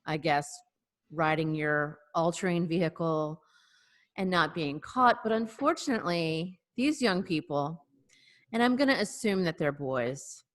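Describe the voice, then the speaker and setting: A woman's voice: deep voice, lively intonation, measured pace. A woman co-hosting a podcast over a video call, telling a story in a hesitant, wry way with many fillers. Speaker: podcast co-host. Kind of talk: casual storytelling chat.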